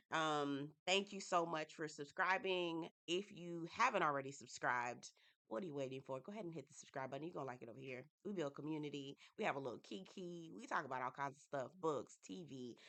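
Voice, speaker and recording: A woman with a stuffy head cold reviewing a reality TV show for her channel in a lively, casual, slang-filled way, talking straight to her viewers. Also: sits low. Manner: animated, playful, Southern-inflected slang, addresses viewers as y'all.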